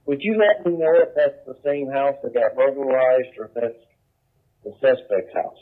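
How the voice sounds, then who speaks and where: Cop guy on the police radio, average pitch, really tinny scanner sound. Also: moderate pace. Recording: narrow, phone-like scanner radio.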